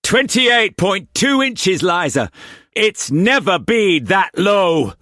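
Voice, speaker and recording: A gruff old sea captain bellowing orders over a gale, his speech rough and rural, his voice raised high and loud, as a man plays him. The sound is dry and close. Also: sing-song, slow pace, no echo, full character voice. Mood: alarmed.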